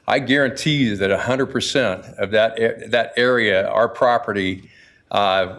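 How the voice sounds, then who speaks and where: A man's voice: fairly low pitch, a bit bright. A man in his sixties from Idaho, a property developer giving earnest, insistent public testimony at a city council podium, often restarting his phrases.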